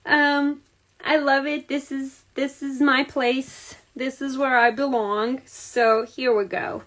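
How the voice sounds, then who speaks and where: A woman's voice: high-pitched, level tone, takes it slowly, a bit bright. A woman from Serbia with a Serbian accent, chatting casually to viewers as she hosts a card-making livestream, greeting people by name and using many fillers. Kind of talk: casual crafting livestream chat. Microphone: phone or camera on a livestream.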